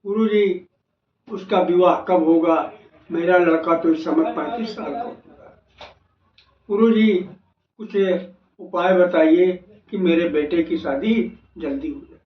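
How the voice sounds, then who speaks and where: A man's voice: sits high, measured pace. A man voicing a worried, weary father who pleads for his child's marriage, in an astrology video. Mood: worried, pleading. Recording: echoey, noisy, dull lo-fi, distant mic.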